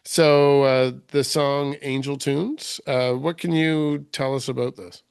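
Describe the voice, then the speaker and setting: A man's voice: mid-range voice, level tone, slow, vocal fry, clean tone, dry recording, clean studio sound. A man hosting an interview over a call, asking loose, rambling questions in a conversational way. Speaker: podcast host. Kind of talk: casual interview. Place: remote call.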